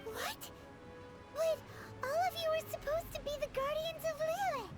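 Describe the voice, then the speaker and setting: A woman voicing a tiny, excitable, childlike fairy companion with a squeaky voice in an anime game. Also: unhurried. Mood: puzzled. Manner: exclaiming, refers to self in third person. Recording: loud music behind the voice.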